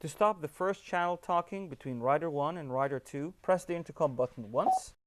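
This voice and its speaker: A man's voice: on the high side, a little fast. A man with a slightly raised voice presents a scripted tutorial. He explains each step in an upbeat, instructional way.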